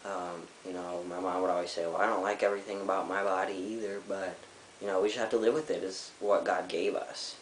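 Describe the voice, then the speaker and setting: A young man with a very low voice talks candidly into a webcam, reflective and halting, often stopping to search for words. The room sounds echoey, with a faint hiss under him. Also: level tone. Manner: candid, reflective, hesitant with many ums. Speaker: vlogger. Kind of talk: personal storytelling to camera.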